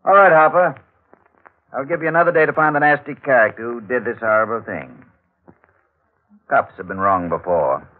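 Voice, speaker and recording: An actor playing a gruff, sardonic, hard-boiled newspaperman in clipped 1940s radio drama style. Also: mid-range voice, normal speed, pauses often, narrow, tinny audio, lightly acted. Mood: skeptical, brusque. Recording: band-limited old radio, faint music behind.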